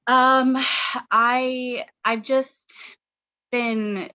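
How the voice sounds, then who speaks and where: A woman's voice: fairly high pitch, steady tone, extremely slow, pushed and bright, lo-fi sound. A woman in her thirties from Canada, a guest channeler talking in a rambling, earnest way with many fillers on a webinar. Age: 30s. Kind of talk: casual webinar chat.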